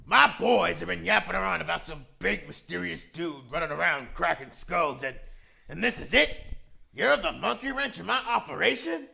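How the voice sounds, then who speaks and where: A man voicing a big bullying bulldog gangster from a video game, blustering in drawn-out indignation. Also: sits high, expressive, gravelly, sharp tone, exaggerated voice. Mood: indignant, bullying. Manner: blustering, drawn-out indignant tough-guy talk. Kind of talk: video game villain fan voice-over.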